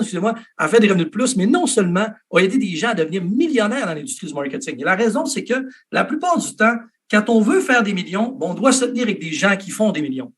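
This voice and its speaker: A Canadian man with a Quebec French accent talks fast and eagerly on a video call. His voice sits high for a man, and he stumbles into restarts and fillers as he pushes on. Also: breathy edge. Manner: energetic, with many fillers and restarts. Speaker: network marketing speaker. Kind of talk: motivational pitch on a video call.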